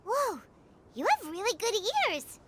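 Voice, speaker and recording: A woman voicing a small excitable female fairy companion in a video game, with a squeaky childlike voice and an exclamatory, whiny manner. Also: a little slow, noisy background, full character voice. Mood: surprised, alarmed. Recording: music under the voice.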